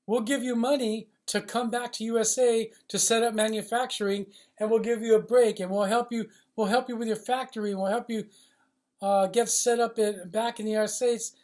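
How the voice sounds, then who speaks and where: A man with a notably high voice speaks off the cuff in a blunt, riled-up monologue. He hammers his points with repeated phrases and coarse language, halting now and then as he searches for the next words. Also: steady tone, darkish tone. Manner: blunt, emphatic, profane, riled up. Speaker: loudspeaker supply industry commentator based in China. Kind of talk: unscripted opinionated monologue.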